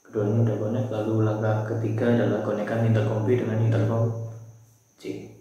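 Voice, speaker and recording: Echoey, low-pitched young man. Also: completely flat delivery, fairly quick, mellow, dull audio, a little hiss or hum. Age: young adult. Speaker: shop presenter giving product tutorial. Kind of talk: informal step-by-step product demonstration. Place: small bare room, indoors at a table. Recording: very echoey, reverberant room.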